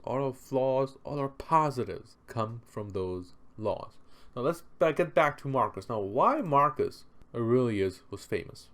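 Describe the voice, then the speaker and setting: A man presenting an unscripted, conversational explainer, speaking emphatically with rhetorical questions and repeated phrases for stress. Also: mid-range voice. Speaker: presenter explaining history and philosophy.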